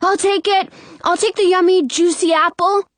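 A woman's voice: very high voice, steady tone, normal speed, no echo, pitched above the performer's own voice. A woman voicing a cheeky little brother in a storybook, whiny, blunt and insistent. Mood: eager, whiny.

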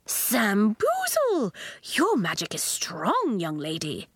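A woman voicing a grand, theatrical, fussy lady bird keeper in a storybook, with gushing, drawn-out exclamations. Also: medium pitch, huge pitch swings, fairly bright tone, breathy edge, no room sound, exaggerated voice. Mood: impressed.

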